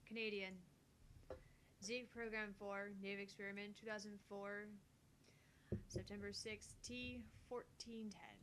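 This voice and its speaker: Woman reading visual novel dialogue, faint rumble. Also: pushed and bright, poor audio. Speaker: amateur voice reader of a visual novel. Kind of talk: reading game dialogue and scripted reports aloud.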